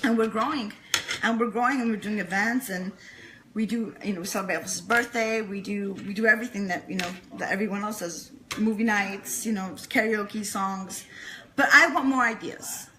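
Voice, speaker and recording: A woman's voice: medium pitch, brisk, pushed and bright, rough recording. A woman talking as an enthusiastic interview guest, earnest and emphatic, repeating phrases to stress her points. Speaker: interview guest, fan club leader. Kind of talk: enthusiastic casual interview chat.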